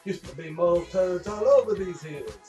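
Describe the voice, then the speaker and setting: A man's voice: high voice, expressive, moderate pace, mellow, distant mic. A man hosting a live stream of radio plays, chatting casually in a joking, rambling way. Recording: echoey room, off mic, music behind.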